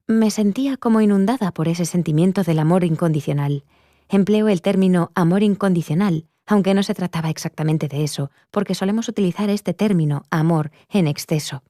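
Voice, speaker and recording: Woman acting as an earnest interviewee, dark mellow tone, reflective personal testimony, very dry close sound. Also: lowish voice, no room sound, close to an everyday voice. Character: earnest adult woman recounting her near-death experience.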